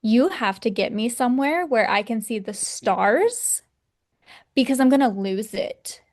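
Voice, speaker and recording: A woman in her thirties from Texas, a podcast guest telling her story in a relaxed, rambling way. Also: a little high, measured pace. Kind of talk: casual interview.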